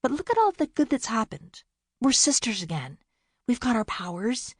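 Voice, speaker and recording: A woman voicing an excitable, pouty youngest sister with a breathy voice. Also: fairly high pitch, normal speed, no echo, studio quality, pitched above the performer's own voice. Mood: pleading, upset. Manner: pouty, exasperated, gushing.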